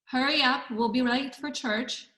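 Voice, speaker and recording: Young-sounding woman as high-pitched brisk grandmother, echoey room. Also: sharp tone. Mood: brisk, stern. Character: brisk sixty-five-year-old Russian grandmother. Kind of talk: amateur script table read. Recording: roomy, echoing sound.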